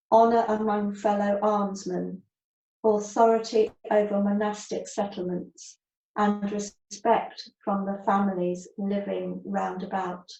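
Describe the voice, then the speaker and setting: A woman reading scripture aloud over a video call, deliberately and phrase by phrase. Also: mid-range voice, dark tone. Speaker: reader, member of a Buddhist community. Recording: dull, roomy video-call audio.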